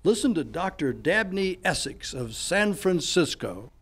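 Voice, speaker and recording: A man's voice: sits high, very animated, unhurried, fairly bright tone, breathy edge, heavily acted. A man playing a polished, upbeat announcer and narrator in a 1940s-style radio show. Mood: selling. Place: live on a stage.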